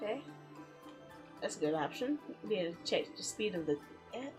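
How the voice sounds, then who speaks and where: A woman streaming a game in a casual, expressive, chatty way, reading story text aloud and reacting to it. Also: fairly low pitch, a little fast. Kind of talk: casual live let's play, reading visual novel text aloud. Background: background music.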